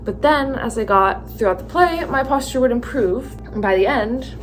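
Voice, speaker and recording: A tired young woman from California with a Californian accent, talking slowly and thoughtfully about her stage work, with many fillers and restarts. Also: fairly high pitch, lively intonation, faint music behind. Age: young adult. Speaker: theatre student vlogger. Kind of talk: casual reflective talk to camera.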